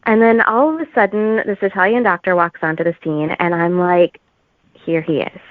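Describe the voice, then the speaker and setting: A woman talking as an author guest in a casual interview, with an informal, halting, giggly manner. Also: lowish voice, dry recording, narrow, tinny audio.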